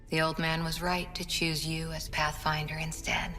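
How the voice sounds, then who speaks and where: A woman's voice: deep voice, level tone, moderate pace, pushed and bright, big-room sound, faint music behind. An actress playing a principled, self-doubting woman soldier in a video game cutscene. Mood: downcast, confiding.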